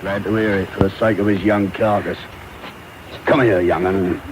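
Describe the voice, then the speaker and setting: An actor playing a brutal, menacing housebreaker in a radio drama, gruff and commanding in manner. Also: male voice, low voice, fairly even intonation, moderate pace, close to an everyday voice. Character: brutal, menacing London housebreaker, a grown man. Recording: noisy medium-wave radio broadcast tape.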